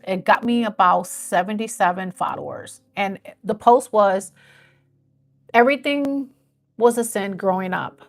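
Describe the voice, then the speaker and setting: A woman's voice: mid-range voice, creaky. A woman in her fifties chatting casually and earnestly on a live stream, rambling a little as she talks about growing her page. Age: 50s.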